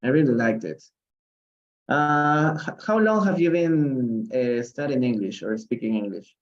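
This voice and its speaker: A man's voice: mid-range voice, lively intonation, a little slow, highs cut off. A man from Peru teaching an English reading lesson on a live stream, encouraging his students with many fillers and hesitations. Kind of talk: live online reading lesson, switching to Spanish. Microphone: livestream call audio.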